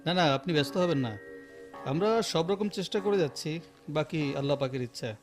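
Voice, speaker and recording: An actor playing a calm, businesslike doctor in a Bengali radio drama, speaking in a measured, authoritative manner. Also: male voice, a little high, soft background music, close to an everyday voice. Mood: reassuring. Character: calm, businesslike male doctor reassuring a relative.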